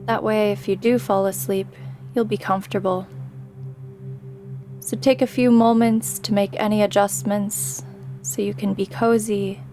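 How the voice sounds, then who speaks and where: A woman guiding a sleep meditation in a gentle, soothing way, with long pauses between her phrases. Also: mid-range voice, level tone, faint music behind. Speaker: meditation guide. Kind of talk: guided sleep meditation, scripted narration.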